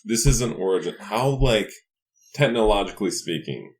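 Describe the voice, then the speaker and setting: A man's voice: lowish voice, animated, moderate pace. A man talking casually as a player at a tabletop role-playing game.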